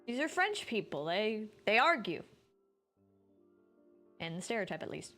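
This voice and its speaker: Woman streamer chatting over a game, rambly and informal, average pitch, soft music in back. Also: very animated, unhurried. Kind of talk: casual live gameplay commentary. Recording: music behind the voice.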